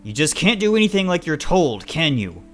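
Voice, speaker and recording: Man as a stern, forceful animal father. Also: on the low side, normal speed, faint music behind, lightly acted. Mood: scolding. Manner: scolding, accusing, menacing. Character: stern, disgusted, pious anthropomorphic animal father.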